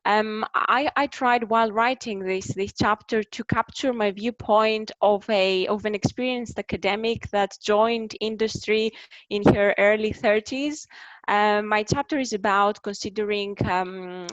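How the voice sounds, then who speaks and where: A woman's voice: mid-range voice, steady tone, measured pace, fairly bright tone, no room sound. A woman in her thirties with a Greek accent, moderating an online book launch, hesitant with many fillers. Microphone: video call. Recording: dull lo-fi video call audio.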